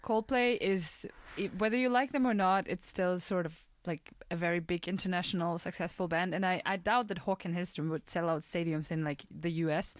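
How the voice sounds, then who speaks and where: A young woman hosting a radio show in casual chat, relaxed and easygoing, with many fillers as she talks. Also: fairly low pitch, brisk, few pauses, no echo. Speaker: radio host.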